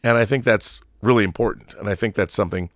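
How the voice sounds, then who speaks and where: A man's voice: lowish voice, fast, a bit bright, no room sound, clean studio sound. A man in his fifties hosting a casual livestream, commenting and reading out messages in an opinionated, emphatic way, repeating himself for emphasis. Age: 50s. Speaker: livestream host.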